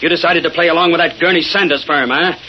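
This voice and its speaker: An actor playing a blustering, threatening crooked stockbroker in 1930s radio drama style. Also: male voice, a little high, fairly even intonation, quick pace, natural voice. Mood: angry, threatening. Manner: blustering, forceful talk. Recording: muffled noisy old radio recording.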